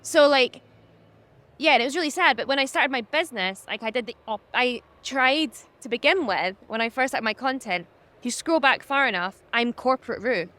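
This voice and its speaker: A young woman with a high-pitched voice, talking candidly as a podcast guest, animated and laughing often. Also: normal speed. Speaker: podcast guest, social media business owner. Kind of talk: candid conversational interview.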